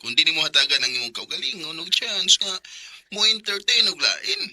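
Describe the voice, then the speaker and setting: An excitable best pal in a Filipino radio drama, with a Cebuano accent. His voice is high and piercing, leaping about as he pushes his friend along. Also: fairly quick, heavily acted. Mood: nagging. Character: pushy, excitable male buddy giving courtship advice.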